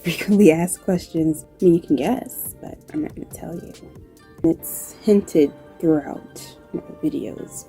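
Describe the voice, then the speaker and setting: A woman with a low-pitched voice and a New York accent that has slight Southern touches. She talks casually to camera at a slow, relaxed pace, dry and low-key, with music in the background. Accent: New York with occasional Southern touches. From New York, United States. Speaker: video creator and graphic designer. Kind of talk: casual talk to camera answering questions.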